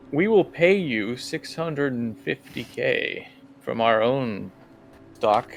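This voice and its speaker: A man acts out a mob boss in a tabletop game, menacing and smooth. The voice is mid-pitched with a gravelly creak. He talks formally and deliberately, with condescension, over faint music. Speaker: guest game master. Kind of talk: tabletop roleplay acting.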